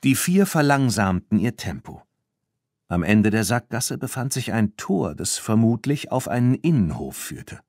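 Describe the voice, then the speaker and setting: A man narrating a children's audiobook in an animated storytelling manner. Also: fairly low pitch, a little slow, no echo, studio quality. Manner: animated storytelling, acting out dialogue.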